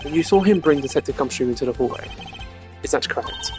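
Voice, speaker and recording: A streamer voicing a composed, stern young male prosecutor from a video game, measured, formal and accusatory. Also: mid-range voice, animated, darkish tone, soft background music, lightly acted. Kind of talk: video game character voice acting.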